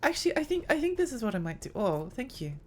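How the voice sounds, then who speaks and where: A woman's voice: fairly high pitch, sing-song, fast talker, a little hiss or hum. A woman streaming a game live, chatting in a rambling, giggly way while her voice strains and tires. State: strained, tiring voice.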